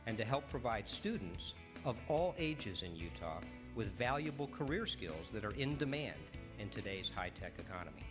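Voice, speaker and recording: A man giving a measured corporate speech as a company executive, with some hesitant fillers. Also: lowish voice, big pitch swings. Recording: music playing underneath.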